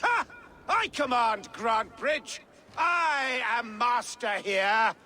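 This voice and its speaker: An arrogant male noble villain in a video game. His very high, forceful voice drags out words with haughty contempt, over ambient noise. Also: lively intonation, takes it slowly, heavily acted. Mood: contemptuous. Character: arrogant, sneering Saxon noble villain, Wigmund. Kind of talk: video game cutscene acting.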